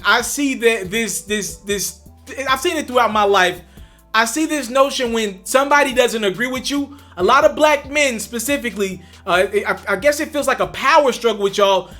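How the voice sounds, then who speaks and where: A man's voice: very high-pitched, pushed and bright. A young man hosting a commentary vlog, talking straight to his viewers with emphatic, repeated points. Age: young adult. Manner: emphatic, addresses viewers directly, repeats for stress. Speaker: commentary channel host. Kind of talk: direct-to-camera commentary.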